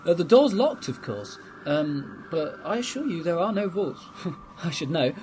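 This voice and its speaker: A man's voice: fairly high pitch, sing-song, a little slow, a little mellow, poor audio. A young man playing a friendly, helpful vicar's son in a radio drama, with a polite, slightly hesitant manner. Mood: friendly, doubtful. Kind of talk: amateur radio drama acting. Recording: faint background noise.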